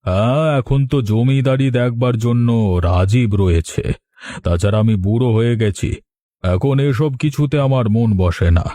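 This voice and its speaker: A man's voice: mid-range voice, moderate pace, warm tone, dry recording, clean studio sound, close to an everyday voice. A man voicing an aging, weary, wise landlord father in a moral cartoon, speaking solemnly. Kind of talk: Bengali moral cartoon voice acting.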